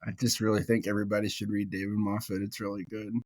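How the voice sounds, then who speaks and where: A male podcast guest with a lowish voice, recorded close and dry with no room sound. He chats loosely and lands his jokes deadpan. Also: fast, no room sound. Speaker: podcast guest, ordination candidate. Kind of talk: casual podcast conversation.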